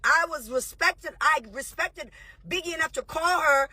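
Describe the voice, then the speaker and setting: A woman in her thirties, a reality show host, ranting heatedly and profanely on a livestream, confrontational and rapid-fire. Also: sits high, bright, forceful tone, no room sound.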